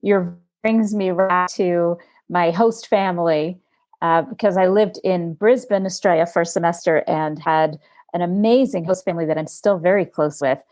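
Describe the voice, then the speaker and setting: A woman hosting a podcast, introducing her guest and chatting with her in a relaxed, searching way. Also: lowish voice, normal speed. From United States. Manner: warm, talkative, searching for words with fillers. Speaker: podcast host. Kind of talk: conversational interview. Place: home studio in Montana.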